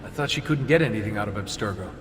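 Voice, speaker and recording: A man's voice: fairly low pitch, animated, rapid-fire, echoey room, long reverb tail, slight background noise, close to an everyday voice. An actor playing a curious, plain-spoken modern man in a video game, casual and inquisitive. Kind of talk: video game cutscene acting.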